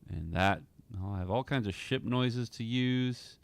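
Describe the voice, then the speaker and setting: A man's voice: medium pitch, measured pace, creaky, very noisy. A man giving a casual walkthrough of his audio drama editing, thinking aloud in fragments. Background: film score music.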